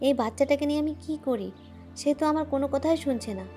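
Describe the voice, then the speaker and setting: Casting: woman playing a worn-out mother, high and rapid, music behind. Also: dark tone, natural voice. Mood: weary. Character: poor struggling mother, later greedy. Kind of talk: homemade cartoon voice acting.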